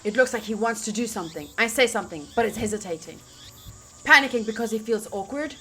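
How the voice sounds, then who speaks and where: A young woman reading visual novel narration aloud as a streamer, expressive and informal, with casual asides. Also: a little high, fast talker, soft background music.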